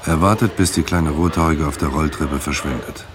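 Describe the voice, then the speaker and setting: A man's voice: extremely low pitch, fairly quick. A man narrating a scripted German audio drama in a terse, sober storytelling manner.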